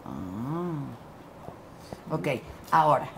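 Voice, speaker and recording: A woman's voice: extremely low pitch, big pitch swings, drawn-out words, vocal fry, a little hiss or hum. A woman hosting a talk show, asking questions and teasing her guest. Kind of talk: conversational interviewing.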